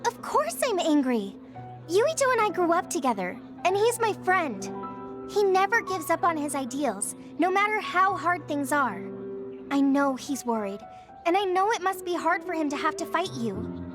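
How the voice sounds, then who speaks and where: An actress playing an earnest, emotional and loyal young woman in a video game, with an expressive, pleading manner. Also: very high-pitched, moderate pace, over music. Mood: indignant.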